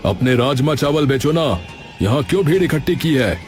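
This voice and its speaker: A man's voice: medium pitch, animated, normal speed, darkish tone, faint music behind, lightly acted. A man playing an arrogant, scheming royal minister with a haughty, demanding manner in a cartoon story. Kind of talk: Hindi cartoon dubbing.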